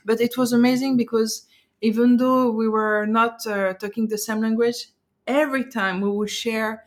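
A woman's voice: on the high side, steady tone, moderate pace. French-accented woman chatting, hesitant. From French and Algerian. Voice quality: hesitant, searching for words, laughs often. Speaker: podcast guest. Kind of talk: casual chat.

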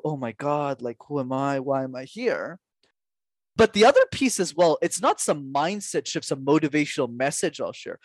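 A young man in his twenties speaks as a guest on a podcast, pressing his points with rhetorical questions and repeated emphasis. His voice sits a little high for a man. The sound is dry and close, with no room around it. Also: no echo. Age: 20s. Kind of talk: interview answers, motivational.

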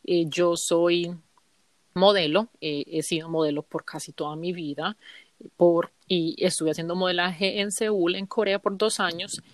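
A woman's voice: fairly low pitch, steady tone, measured pace, no echo. A woman in her thirties with a Colombian accent, co-hosting a podcast in a chatty, informal, conversational way. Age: 30s. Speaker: podcast co-host. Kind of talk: casual conversational podcast intro.

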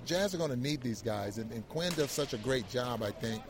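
A man's voice: mid-range voice, lively intonation, brisk. A man giving conversational post-game analysis, often repeating words and circling back as he makes his point. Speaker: sports analyst.

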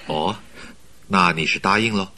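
Deep voice, bright edge, smooth-talking schemer, polite, persuasive, flattering company recruiter, radio drama. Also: male voice, measured pace, full character voice. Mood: coaxing. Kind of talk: Mandarin radio drama acting.

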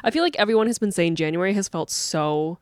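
A woman's voice: fairly low pitch, animated, fast. An American woman co-hosting a podcast with casual, chatty, informal and jokey banter. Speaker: podcast co-host.

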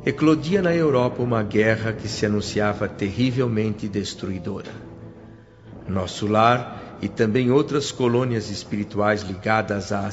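A man's voice: lowish voice, background noise. Solemn male radio drama narrator. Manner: solemn, measured. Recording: dull lo-fi radio recording with music.

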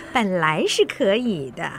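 A woman's voice: medium pitch, wildly swooping pitch, slow pace, full character voice. A woman acting in a radio drama as a haughty, envious, gossipy society lady with a theatrical, mocking delivery. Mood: sardonic gossip.

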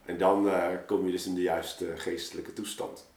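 Male podcast guest, low register, halting and informal storyteller, echoing room sound. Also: level tone, creaky, a little mellow. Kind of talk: casual, reflective storytelling in an interview. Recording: roomy, echoing space.

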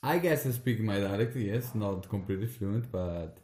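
A man's voice: fairly low pitch, normal speed, dark tone. A young Italian man co-hosting a podcast, chatting casually with a friendly, hesitant manner and many fillers. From northeast Italy. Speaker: podcast co-host. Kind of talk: casual chat. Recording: roomy echo.

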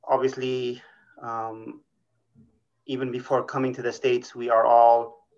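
A man from Eritrea, a guest on a podcast, speaking in a relaxed, thoughtful way and drawing out his words. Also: medium pitch, unhurried. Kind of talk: casual interview. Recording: dull lo-fi audio.

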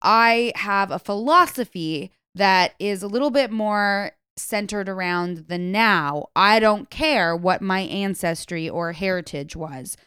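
A woman with a smooth, resonant voice talks movies in a casual chat, blunt and opinionated as she weighs her rankings. She is recorded dry and close, with no room sound around her. Her talk runs loose, with quick self-corrections along the way. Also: mid-range voice, fairly bright tone, no echo. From Serbian family. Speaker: film podcast co-host.

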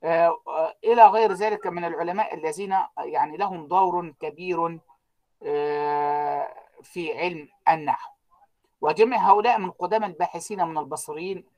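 A man giving an online university lecture, trailing off so students fill in the words, with frequent drawn-out fillers. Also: on the high side, a little mellow. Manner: keeps quizzing students with unfinished sentences, many drawn-out fillers.

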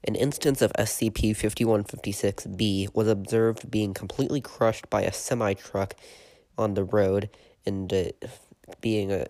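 A stuffy-nosed man hosts a podcast and reads out an eerie report in a steady, matter-of-fact tone. His voice sits low and barely rises or falls. The recording is dry, with no room sound around him. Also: no room sound. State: stuffy from a cold. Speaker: podcast host and narrator. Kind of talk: reading a written horror report.

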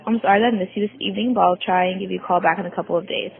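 A woman's voice: medium pitch, steady tone, rapid-fire, vocal fry, a crowd in the background. A young woman, a student caller, leaving a scripted fundraising voicemail over the telephone. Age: young adult. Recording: narrow, tinny telephone line.

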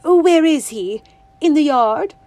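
A woman voicing a stern, fussy old grandmother who speaks primly and slowly in an audiobook. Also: sits high, expressive, dark tone, pitched above the performer's own voice. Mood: grudging. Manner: prim, scolding, drawn-out words. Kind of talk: audiobook reading.

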